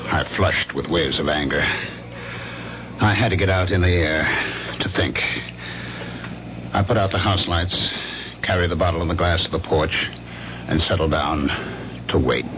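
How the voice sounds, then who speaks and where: A deep-voiced brooding, jealous husband. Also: male voice, background noise. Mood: brooding, tense. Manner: brooding, deliberate, dramatic. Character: despondent, jealous, brooding husband, a former electronics executive. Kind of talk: old-time radio drama, first-person narration. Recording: muffled radio recording with loud music behind.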